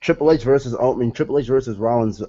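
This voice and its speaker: A man's voice: medium pitch, fast, lo-fi sound, a crowd in the background. A man co-hosting a casual wrestling chat, talking insistently and keen to argue his point.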